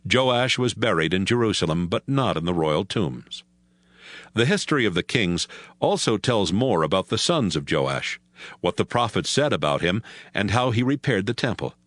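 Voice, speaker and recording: Casting: male narrator, fairly low pitch, lively intonation, measured scripted Bible storytelling. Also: dry recording.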